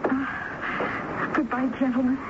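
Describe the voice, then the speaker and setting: High-voiced, timid, stammering murder suspect. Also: female voice, monotone. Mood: resigned, meek. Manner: halting, stammering, anxious. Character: timid, flustered female typist suspected of murder. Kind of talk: 1970s radio drama acting. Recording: muffled, noisy old radio broadcast.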